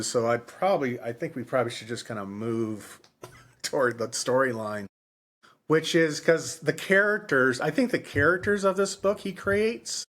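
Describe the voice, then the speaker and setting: A man in his fifties co-hosting a casual book discussion, speaking haltingly and often circling back to repeat his phrases. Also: medium pitch. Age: 50s. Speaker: podcast host.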